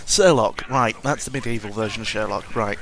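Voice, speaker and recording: A man's voice: fairly low pitch, fairly quick, a bit bright, slight background noise. A man giving a casual, rambling audio-log monologue as host, sardonic and cynical, often interrupting himself and swearing freely.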